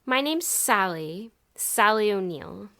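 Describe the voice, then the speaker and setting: Young female hitchhiker, played by an actress: innocent, playful, hesitant; higher register, lively intonation, dry audio-drama sound. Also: slow pace, no echo. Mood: shyly resolved. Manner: hesitant, polite, naive wonder.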